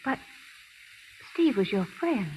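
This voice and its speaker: An actress playing a principled, tender-hearted but firm frontier schoolmarm in 1930s radio drama style. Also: female voice, mid-range voice, animated, takes it slowly, a little mellow. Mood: horrified, accusing. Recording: noisy, hissy 1930s radio recording.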